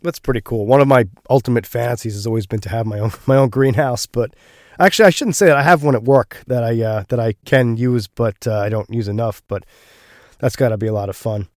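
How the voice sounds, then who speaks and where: A man hosting a podcast, his voice a little gravelly from being unwell, chatting casually with many fillers. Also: medium pitch, fairly quick, a little mellow, no echo, studio quality. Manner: frequent fillers and restarts, friendly. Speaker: podcast host.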